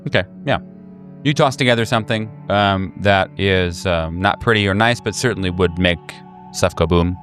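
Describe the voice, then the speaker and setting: A man's voice: low voice, normal speed, a bit bright. A man running a tabletop horror game as game master, improvising narration in a casual way. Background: background music.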